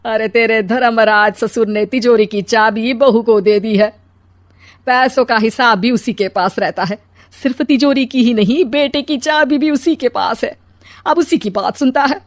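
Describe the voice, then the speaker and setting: A woman's voice: on the high side, measured pace, natural voice. A woman playing a bitter, plotting older mother-in-law in a cartoon story, whining and scolding dramatically. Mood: aggrieved. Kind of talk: Hindi cartoon story acting.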